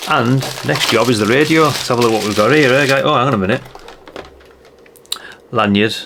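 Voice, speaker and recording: A man's voice: medium pitch, brisk, background noise. An older man chatting in a rambling way, thinking aloud through a casual hands-on product review. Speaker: radio hobbyist reviewer.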